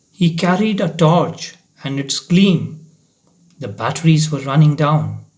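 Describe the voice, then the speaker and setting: A man reads a short story aloud with deliberate drama. His pace is slow, and his somewhat high voice moves through a very lively range of pitch. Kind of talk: expressive short story reading.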